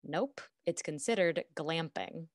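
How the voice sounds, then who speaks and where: A woman's voice: low voice, animated, takes it slowly, no room sound, studio quality, heavily acted. A woman playing a discontented young wife in a radio drama, offhand and withdrawn. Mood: preoccupied. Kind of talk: naturalistic radio drama dialogue.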